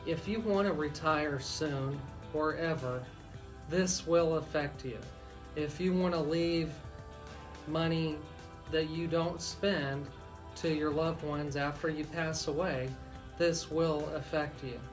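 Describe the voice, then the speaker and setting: A man's voice: a little high, fairly even intonation, takes it slowly, soft background music. An American man hosting live talk radio as an attorney, explaining things and addressing listeners directly. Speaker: radio host and estate planning attorney.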